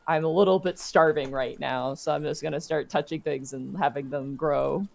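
A woman's voice: low-pitched, fairly even intonation, normal speed, vocal fry, a little mellow. A woman chatting casually as a player in a tabletop role-playing game.

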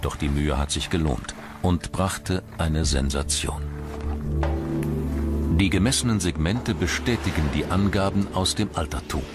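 A man narrates a documentary from a script in standard German. His voice sits very low, and music plays clearly behind him. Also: a little slow. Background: background music.

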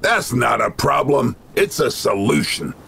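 A man voicing a cocky, wisecracking American action-hero soldier in a video game, with deadpan swagger. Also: mid-range voice, unhurried, vocal fry, a little hiss or hum, full character voice. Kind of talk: video game cutscene acting.

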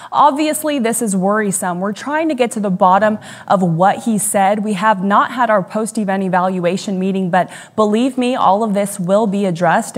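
A young adult woman delivering a scripted television news report in a studio. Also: mid-range voice.

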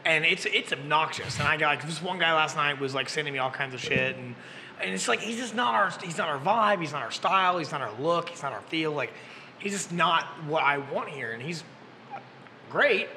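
A man's voice: a little high, expressive, a little fast, pushed and bright, big-room sound, a little hiss or hum. A man in his early thirties, a podcast host who books music at a brewery, chatting loosely and joking with some profanity. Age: 30s. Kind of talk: casual chat. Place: brewery taproom. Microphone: desk mic on boom arm.

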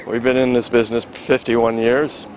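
An elderly man in his eighties, talking casually and haltingly with many fillers and chuckles, interviewed at an outdoor city marina on a handheld mic. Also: medium pitch, monotone, a little hiss or hum, poor audio. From Florida. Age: 80s. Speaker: sailing school owner. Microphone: handheld microphone held by interviewer.

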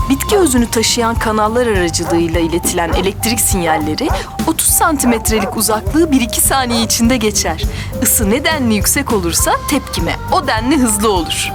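A woman playing a patient, kindly mother who explains things to children in a cartoon. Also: a little high. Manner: explanatory, gentle.

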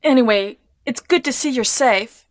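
Woman playing high-voiced earnest policewoman. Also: normal speed, no echo, natural voice. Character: earnest capable young female special-police officer. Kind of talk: stilted 1990s video game voice acting.

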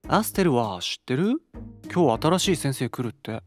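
Drama CD: innocent, eager teenage boy male actor, softly boyish, animated pitch, faint music behind. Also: normal speed, lightly acted. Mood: playful. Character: eager, innocent-sounding male student who loves fighting. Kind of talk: audio drama acting.

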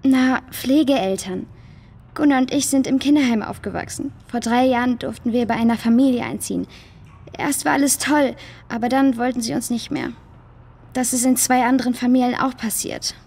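A female performer playing a troubled, downhearted girl in a children's audio drama, with a high, husky voice. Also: moderate pace, a little mellow. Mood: sad, ashamed. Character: troubled, downhearted foster girl lost in a cave.

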